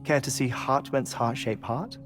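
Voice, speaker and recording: An actor playing a calm, measured, dryly wry man who dies every twenty-one minutes, in a video game cutscene. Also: medium pitch. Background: game soundtrack music.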